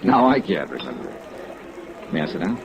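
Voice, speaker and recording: An actor playing a tough, smooth middle-aged male insurance investigator who narrates his case in a clipped, wry 1950s radio style. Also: low voice, sing-song, normal speed, exaggerated voice. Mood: casual, probing. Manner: clipped, wry hardboiled delivery. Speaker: lead actor and narrator of a detective serial. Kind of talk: 1950s radio detective acting. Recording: dull, lo-fi vintage radio recording.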